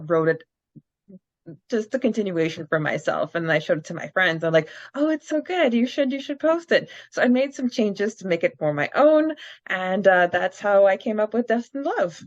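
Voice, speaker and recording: A woman's voice: lowish voice, no room sound. A woman co-hosting a casual fan podcast, reading out questions and answers and chatting. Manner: reads questions aloud, quick bursts, laughs often. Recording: dry, dull lo-fi sound.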